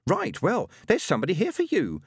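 A fussy middle-aged spirit medium with a raised, lively voice, as a male reader puts her on. She talks chatty and sweetly bossy, keeping her seance circle in line. Dry, close audiobook sound. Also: a little high, brisk, husky, no echo, voice pushed up, full character voice. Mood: businesslike.

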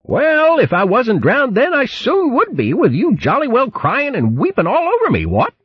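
Blustering jolly hare fellow, high and bouncy, husky, muffled. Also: male voice, wildly swooping pitch, normal speed, exaggerated voice. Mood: blustering cheer. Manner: hearty, blustery, full of "what, what" bluster. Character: jolly blustering male hare warrior, boastful and kind. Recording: muffled lo-fi recording.

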